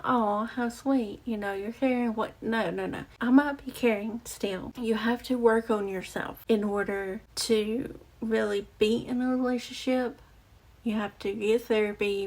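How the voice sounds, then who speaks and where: Raspy young woman, halting storyteller. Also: medium pitch, low-quality recording. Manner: halting and reflective, many fillers and restarts. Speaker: vlogger. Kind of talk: personal storytelling to camera. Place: outdoors or near a street with passing cars. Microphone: close mic.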